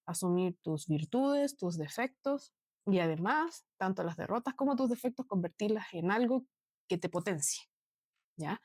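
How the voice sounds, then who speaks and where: A woman in her late twenties from Chile, with a Chilean accent, talking earnestly and slowly to her viewers about her life, with many pauses and fillers. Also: medium pitch, a little mellow, no echo, studio quality. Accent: Chilean Spanish. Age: 20s. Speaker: vlogger. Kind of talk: reflective personal talk to viewers.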